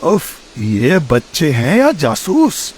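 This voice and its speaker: A man plays a greedy, scheming villain tomcat from a children's cartoon. He draws out his words theatrically at a slow pace. The pitch sits fairly high for a male voice and swings widely. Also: wildly swooping pitch, slightly rough, heavily acted. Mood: exasperated. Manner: theatrical, drawn-out scheming delivery. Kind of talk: children's cartoon story acting.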